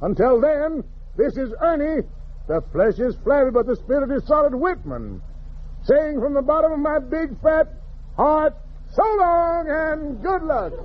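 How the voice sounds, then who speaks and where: A man's voice: very high-pitched, animated, unhurried, background noise. A man hosting a 1940s radio show with slangy, rhyming hepcat jive talk and showmanship. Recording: muffled vintage radio broadcast with music.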